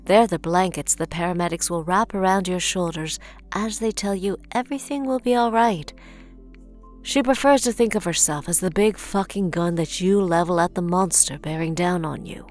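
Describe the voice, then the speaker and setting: A woman's voice: lowish voice, normal speed, darkish tone, faint music behind, natural voice. A woman giving scripted narration for a gritty superhero audio drama as its storyteller. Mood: measured.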